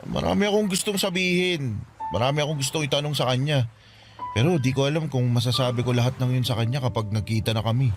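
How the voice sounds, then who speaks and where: A man's voice: mid-range voice, fast, lightly acted. An actor playing Makoy, a guarded and hesitant young man, in a radio drama. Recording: music bed under dialogue.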